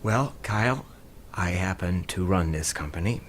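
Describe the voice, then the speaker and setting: A man's voice: low-pitched, expressive, normal speed, a little hiss or hum. A man playing a proud, confrontational company boss in a radio drama, speaking in a pointed, challenging way. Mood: cool, pointed.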